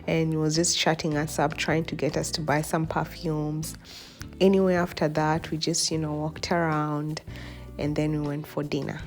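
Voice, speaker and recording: A young woman narrates a travel vlog in a casual voice-over. Her voice sits low and is hoarse from a cold, with soft music playing behind it. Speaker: vlogger. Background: background music.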